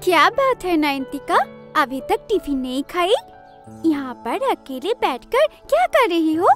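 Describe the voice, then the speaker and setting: A woman voicing animated female characters in a Hindi cartoon story. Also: very high-pitched, measured pace, faint music behind, full character voice. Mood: coaxing, secretive.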